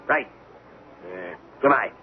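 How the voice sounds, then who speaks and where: A man's voice: lowish voice, animated, slow. Hemming, genteel businessman in old radio drama. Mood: pleased, businesslike. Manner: hemming, chuckling, genteel speech. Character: affluent coin-collecting businessman, polite, excitable, hesitant with his maid. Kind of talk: 1970s radio drama acting. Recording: noisy, phone-like old radio recording.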